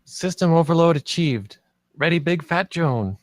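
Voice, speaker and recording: A man's voice: a little high, animated, measured pace, no echo, studio quality. A man at a script table read playing the commanding, heroic leader of a drone team. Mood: focused, commanding.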